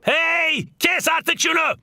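A man voicing a frantic, panicky cartoon character with a sharp, creaky voice. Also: very high voice, slow, slight background noise, exaggerated voice. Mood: annoyed. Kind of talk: exaggerated cartoon acting.

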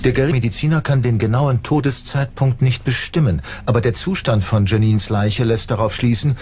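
A man's voice: mid-range voice, steady tone, fairly quick, darkish tone, a little hiss or hum. A man giving scripted true-crime documentary narration as the narrator. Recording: music bed under the voice.

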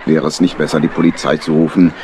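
A man's voice: rumbling low, flat delivery, quick pace, dark tone, a little hiss or hum. A man playing a remorseful, worried badger uncle in a children's audio play, sorrowful and sighing. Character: grown male badger uncle, remorseful, worried, good-hearted.

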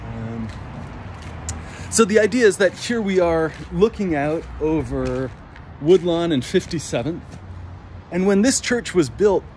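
A man's voice: fairly high pitch, unhurried, pauses often, slight background noise. A man in his thirties, a minister, chatting casually as he walks through an old church building, filmed on a phone held at arm's length. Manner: chatty, relaxed tour-guide talk while walking. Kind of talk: casual walking tour. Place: old stone church building, indoors and on a roof.

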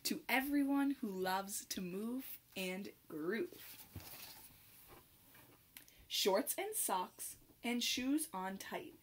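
A young adult woman reading a rhyming picture book aloud to children in an expressive, sing-song manner with clear phrasing. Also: medium pitch, very slow, long pauses, slight background noise. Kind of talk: children's read-aloud, rhymed storytelling.

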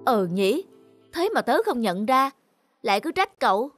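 A woman voicing a soft-hearted, chubby young man in a cartoon, a concerned friend who speaks with gentle sympathy. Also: fairly high pitch, very animated, a little slow, over music. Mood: sympathetic. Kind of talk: cartoon voice acting.

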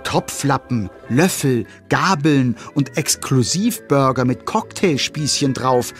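A man tells a children's story as narrator of an audio drama. His medium-pitched voice is playful and moves up and down a lot. Music plays clearly behind him. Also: takes it slowly. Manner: expressive, playful.

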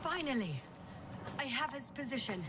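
A high-voiced, determined astronaut scientist. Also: female voice, lively intonation, moderate pace, very noisy. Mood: urgent, worried. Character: determined woman scientist astronaut exploring a hostile planet. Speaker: video game protagonist. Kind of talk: naturalistic game voice acting.

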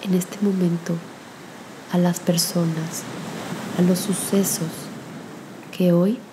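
A woman leading a guided spiritual meditation in a gentle, soothing manner with long pauses. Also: fairly low pitch, level tone, noisy background.

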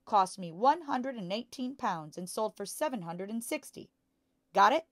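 A woman voicing a boastful, bullying father who deals in crooked cars, in a loud, hectoring manner for a storybook. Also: mid-range voice, animated, moderate pace, no room sound, clean studio sound, voice pushed up, close to an everyday voice. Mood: brisk, commanding.

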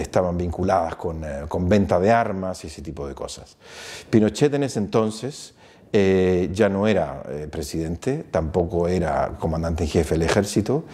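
A man in his fifties from Chile with a Chilean accent, giving a recorded lecture to camera on a lapel mic, measured and full of "eh" pauses. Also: low-pitched, mellow. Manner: measured, many "eh" pauses, gesturing hands. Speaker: foundation director, lecturer. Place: small bright office with blinds, indoors.

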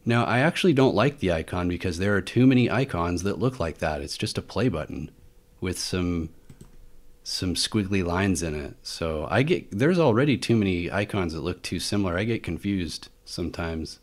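A man with a low-pitched voice narrates a screen recording as the host of a tech hobby channel. He talks in an informal, rambling way, thinking out loud as he goes. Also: lively intonation, normal speed. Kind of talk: casual screen-recording walkthrough. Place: indoor voice-over for a screen recording.

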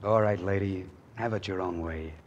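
An actor playing a nervous, self-doubting young soldier with plain colloquial speech in a 1940s radio drama. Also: male voice, deep voice, moderate pace, muffled recording. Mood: dejected. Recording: vintage 1940s radio broadcast.

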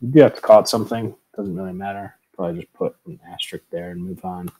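A middle-aged man giving a casual screen tutorial in a halting, informal way, on an earbud microphone at home. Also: low-pitched, lively intonation, mellow, low-quality recording. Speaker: tutorial presenter, educator. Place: room at home, indoors. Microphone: earbud headset microphone.

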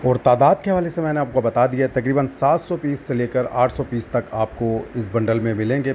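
Mellow-voiced Pakistani man pitching stock. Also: a little hiss or hum. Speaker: wholesale market seller and channel host. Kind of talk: sales pitch narration over product demonstration.

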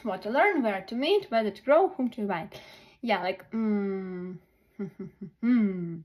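A woman tutoring test preparation, thinking aloud through questions slowly with many pauses and fillers. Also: mid-range voice, expressive, a little mellow.

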